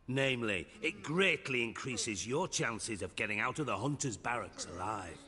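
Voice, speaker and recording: An actor playing a shrewd, sardonic crime boss in a video game, blunt and mockingly polite. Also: male voice, mid-range voice, lively intonation, normal speed, bright, forceful tone, long reverb tail. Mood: smug, scheming.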